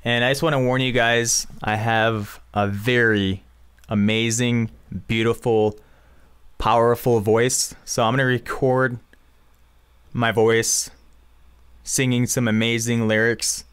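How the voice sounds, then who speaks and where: A man's voice: mid-range voice, flat delivery, slow pace, a bit bright, clear, resonant tone. A young man giving a casual, informal music production tutorial as a screencast voice-over.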